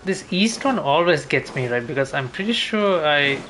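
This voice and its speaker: A man giving casual, unhurried gameplay commentary, thinking aloud as he goes. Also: fairly high pitch, animated, a bit bright, a little hiss or hum, poor audio. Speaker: game streamer. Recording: game music behind voice. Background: video game music.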